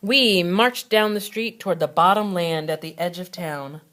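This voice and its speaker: A woman reading a children's storybook aloud like a schoolteacher, with expressive, dramatic narration and deliberate phrasing. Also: on the low side. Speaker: second grade teacher reading aloud.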